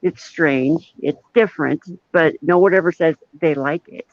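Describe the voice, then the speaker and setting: A man's voice: fairly high pitch, moderate pace. A middle-aged man chatting casually on a live show in a self-deprecating, rambling way. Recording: dull lo-fi audio with roomy echo.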